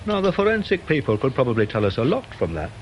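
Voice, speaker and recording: Earnest British police sergeant, lively intonation. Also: male voice, medium pitch, fast talker, muffled recording. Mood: earnest, puzzled. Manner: deferential, precise, mildly pedantic. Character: polite, earnest, pedantic police sergeant keen on forensics. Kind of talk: old-time British radio detective drama acting. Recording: faintly noisy old radio drama recording.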